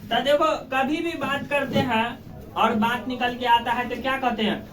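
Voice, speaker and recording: A man's voice: very high voice, steady tone, normal speed, a crowd in the background. A male teacher with a Bihari accent lectures in plain, everyday terms. He repeats key phrases and keeps putting prompting questions to his pupils. The sound is echoey, picked up at a distance from the microphone in a classroom. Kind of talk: classroom lecture explaining in simple colloquial terms.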